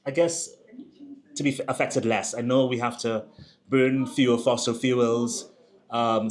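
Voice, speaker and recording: A man hosting an interview, asking long conversational questions and searching for words with fillers. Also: mid-range voice. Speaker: host.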